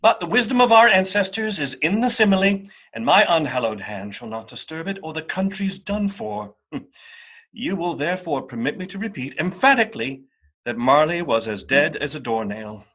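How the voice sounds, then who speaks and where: A man narrating a radio play as a theatrical storyteller, wry and emphatic, with a husky voice. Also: on the high side, very animated, normal speed, fairly bright tone, heavily acted.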